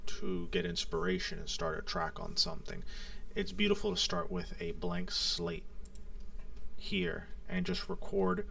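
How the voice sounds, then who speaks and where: A man's voice: high-pitched, gravelly, pushed and bright, no echo, distant mic. A man hosting a live stream in casual, rambling, hesitant talk, slightly off the mic. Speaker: live stream host and music producer.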